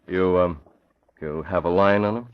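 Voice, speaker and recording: Male actor as low-voiced vigilante newsman, decisive and measured, vintage radio serial sound, muffled with light noise. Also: fairly even intonation, smooth, natural voice. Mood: grave, guarded. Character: resolute crime-fighting newspaper publisher, a grown man. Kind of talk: 1940s radio drama acting. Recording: muffled, noisy old radio recording.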